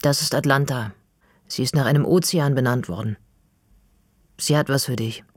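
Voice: medium pitch, normal speed, no echo, studio quality, natural voice. Eine vorlesende Stimme spricht einen trotzigen, ungeduldigen Jungen im Teenageralter in einem Hörbuch. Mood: flat. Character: stubborn teenage boy, impatient and hurt. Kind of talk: audiobook reading.

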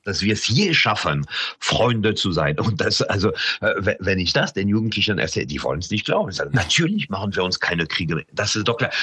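Deep husky-voiced man from France. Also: a bit bright, dry recording. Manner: hesitant, many fillers and restarts. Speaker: interview guest. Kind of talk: radio interview.

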